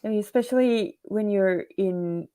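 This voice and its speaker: A woman's voice: mid-range voice, moderate pace, dark tone. A middle-aged woman hosting a casual livestream chat, chatty and laughing often as she reads comments aloud. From Australia. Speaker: host.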